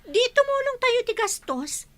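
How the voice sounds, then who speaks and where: Casting: woman as demanding young Ilocano bride, radio play, high-pitched and very animated. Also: moderate pace. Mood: insistent. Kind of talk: Ilocano radio drama acting.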